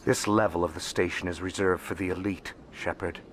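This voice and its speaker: An actor playing a shrewd, wealthy alien information broker in a video game, speaking in a calm, formal, measured way. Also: male voice, sits low, noisy background, natural voice. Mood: admiring, polished. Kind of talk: video game dialogue acting. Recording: music behind the voice.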